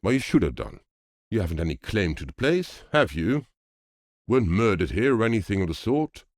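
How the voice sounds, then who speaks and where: A man playing a firm gentleman in an audiobook, admonishing with an air of friendly superiority and old-fashioned clubman phrasing. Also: fairly low pitch, big pitch swings, moderate pace, dry recording, clean studio sound, voice pushed up. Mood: stern.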